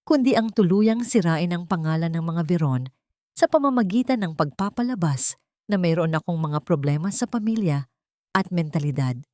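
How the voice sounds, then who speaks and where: Low-pitched woman narrating a dramatic story. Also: darkish tone, no room sound, clean studio sound. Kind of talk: scripted first-person narration of a melodrama.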